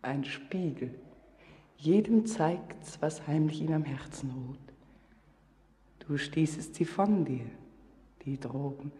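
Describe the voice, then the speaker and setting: A woman's voice: low-pitched, takes it slowly, pauses often, warm tone. An actress playing a mysterious, commanding female spirit of the depths in a verse radio drama, solemn, incantatory and often whispering. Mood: tender, stern. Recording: long, cavernous reverb.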